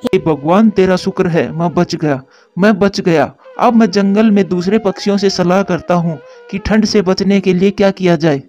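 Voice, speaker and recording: Man as a fretful, high-voiced forest bird. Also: normal speed, darkish tone, lightly acted. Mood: relieved. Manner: dramatic, lamenting. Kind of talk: Hindi children's cartoon dubbing. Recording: muffled lo-fi dub with music.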